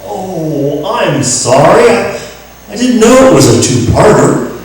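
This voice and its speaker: A man's voice: high voice, sing-song, slow pace, heavily acted. A man playing a polite, self-promoting mayoral candidate in old-time radio comedy style. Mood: politely baffled. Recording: echoey room, distant mic, faint noise.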